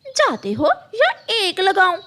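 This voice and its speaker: A woman's voice: very high voice, wildly swooping pitch, takes it slowly, a bit bright, voice pushed up, exaggerated voice. A woman voicing a haughty, hot-tempered rich mother crow in a cartoon, with a scolding, imperious manner. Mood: scornful, scolding.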